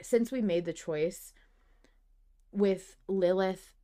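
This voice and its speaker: A woman hosting a podcast, chatting casually and emphatically, with informal, animated delivery. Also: medium pitch, slow pace. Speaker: podcast host. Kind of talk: casual fan podcast chat.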